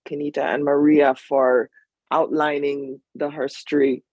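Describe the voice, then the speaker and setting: A woman guest speaker on a video call, talking calmly and invitingly, with soft hums between her phrases. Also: sits low, unhurried, dry recording, dull audio. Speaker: guest speaker from a partner organisation. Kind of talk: online panel talk.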